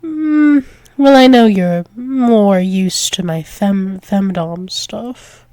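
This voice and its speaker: A woman's voice: medium pitch, very slow, warm tone, no room sound. A woman voicing a doting, dominant dragon-wolf demoness lover in an intimate ASMR roleplay, teasing with pet names and dropping into whispers. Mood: playful, coy.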